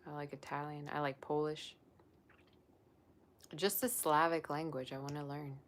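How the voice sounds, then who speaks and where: A woman's voice: deep voice, level tone, moderate pace. A young woman, a psychic tarot reader, chatting casually on a livestream and reading viewer comments aloud. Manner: chatty and rambling, with many "like" fillers.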